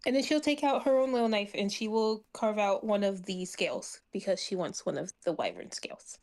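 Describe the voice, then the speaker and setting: A woman's voice: medium pitch, fairly quick, a little mellow. A woman with a mid-range voice plays a tabletop game. She describes what her character does in a casual, playful and conversational way.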